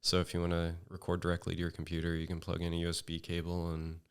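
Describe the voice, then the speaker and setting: A man talking as a podcast guest in casual chat at home, in a monotone way, halting with many fillers and restarts. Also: low-pitched, clean tone.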